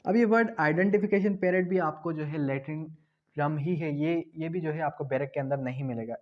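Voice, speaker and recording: Guy from pakistan teaching law, asks then answers himself, kinda muffled recording. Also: on the high side. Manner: repeats key terms slowly, asks and answers own questions. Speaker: law tutor. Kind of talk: exam-prep law lecture.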